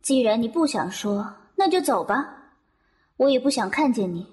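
A woman's voice: a little high, animated, normal speed, a little mellow, natural voice. A woman playing a proud young female angel, curt and defiant, in an audio drama. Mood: cold. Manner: cold and curt, flaring sharply. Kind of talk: Chinese audio drama acting.

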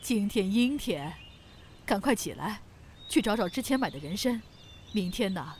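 Animated, nagging mother played by a woman. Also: medium pitch, a little hiss or hum, natural voice. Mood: scolding. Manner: scolding, brisk commands. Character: bossy, nagging middle-aged mother of grown son. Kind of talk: Chinese period audio drama acting.

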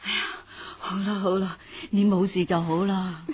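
A woman's voice: mid-range voice, flat delivery, moderate pace, husky, lightly acted. A woman playing an anxious, caring older wet nurse in a radio drama, pleading with a Hong Kong Cantonese accent. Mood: relieved, tender.

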